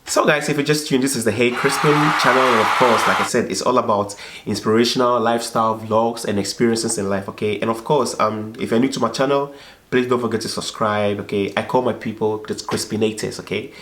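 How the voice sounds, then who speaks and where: A man's voice: lowish voice, steady tone, a little fast, a bit bright. A man with a slightly dried-up, tired voice, hosting a vlog in casual, informal and repetitive chat. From foreigner living in Belgium. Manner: repetitive, filler-heavy, informal. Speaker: vlog host. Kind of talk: casual chat to viewers and guest. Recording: echoey room sound.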